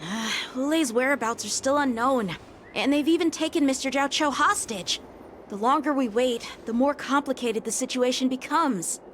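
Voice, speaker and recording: A woman's voice: high voice, moderate pace, fairly bright tone, a little hiss or hum. A woman voicing an earnest, dutiful teenage boy knight lieutenant in a game, speaking formally and conscientiously. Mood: anxious. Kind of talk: acted game story dialogue.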